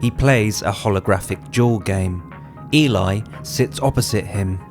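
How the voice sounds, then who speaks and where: A man's voice: lowish voice, unhurried, faint music behind. A man reading scripted screenplay narration in the present tense, as writer and narrator of an audio drama.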